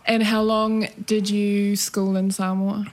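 A woman's voice: mid-range voice, little pitch movement, slow pace. A woman hosting a chat podcast, giggly and chatty as she asks questions. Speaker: podcast host. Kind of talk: casual interview chat.

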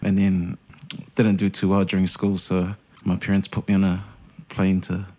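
A man's voice: low-pitched, monotone, normal speed, dark tone. A man, a podcast guest telling stories in a laid-back, self-deprecating way. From New Zealand. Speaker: podcast guest, radio host. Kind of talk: casual interview chat.

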